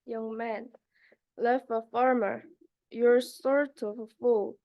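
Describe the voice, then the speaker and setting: A young woman from South Korea, a university student, reading a rhyming children's book aloud in a careful, halting way. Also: on the high side, lo-fi sound. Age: young adult.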